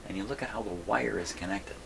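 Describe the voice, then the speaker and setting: A man's voice: fairly low pitch, fast. A man thinking aloud in a rambling, folksy way as he casually narrates a hands-on repair vlog from his workshop. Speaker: hobbyist host repairing vintage radios. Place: indoor radio workshop. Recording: clear background noise.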